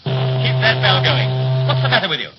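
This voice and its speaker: An actor playing a brisk, bossy young ship's officer in a 1930s radio play, with a clipped, commanding, theatrical manner. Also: male voice, mid-range voice, very animated, over music, close to an everyday voice. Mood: brusque, scornful. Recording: muffled, noisy 1930s radio recording.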